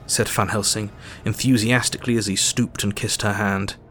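A man's voice: lowish voice, steady tone, moderate pace, a bit bright, a little hiss or hum, natural voice. An actor playing a composed doctor who narrates events from his journal in an audio drama.

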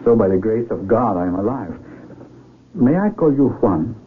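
An actor playing a polite, bewildered young mountaineer in a radio drama, earnest and a little hesitant. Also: male voice, lowish voice, big pitch swings, normal speed. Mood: apologetic, earnest. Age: young adult. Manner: hesitant, polite, exclaiming in wonder. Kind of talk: 1970s radio drama acting. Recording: tinny, noisy 1970s AM radio recording.

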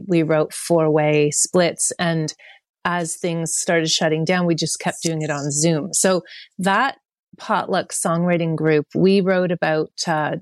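A woman's voice: fairly low pitch, dry recording. A woman musician being interviewed, chatting casually and rambling with lots of fillers. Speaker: musician guest.

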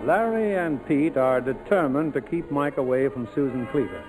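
A man's voice: mid-range voice, expressive, moderate pace, low-quality recording. A man narrating a 1940s radio drama as host, in a formal, dramatic storytelling manner, with music behind him.

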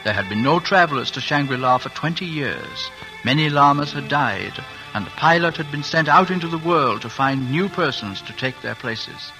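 A man narrating a radio drama in a calm storytelling manner. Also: fairly high pitch, moderate pace, soft background music, rough recording. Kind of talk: scripted narration. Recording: old radio broadcast with score.

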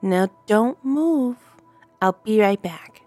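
A creepy little girl coos and coaxes in a high, bouncing, childish sing-song, as a woman puts her on. Sweet on the surface and sinister underneath, she fits a spooky storybook read aloud. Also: animated, slow pace, darkish tone, faint music behind. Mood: coaxing.

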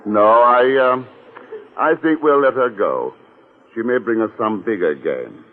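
An actor playing a suave, wry and persuasive secret agent in a 1940s radio drama. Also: male voice, medium pitch, expressive, a little slow. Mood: brisk, confident. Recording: muffled, narrow-band old radio recording, reverberant.

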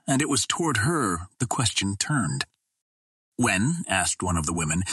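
A man reading literary narration as an audiobook narrator, in a measured, formal storytelling manner. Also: on the low side, a bit bright, husky, no echo.

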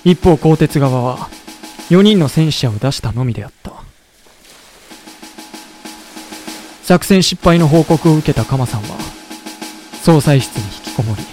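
A man acting a loyal deputy leader who narrates a historical war audio drama in a deliberate storytelling delivery. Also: fairly high pitch, lively intonation, darkish tone, faint music behind, lightly acted. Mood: sombre narration. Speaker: narrator and character.

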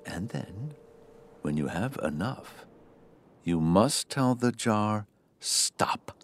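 An actor playing a wise, kindly old man in a children's radio play, speaking deliberately and gently instructive. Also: lowish voice, animated, slight background noise, heavily acted. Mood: wise, solemn.

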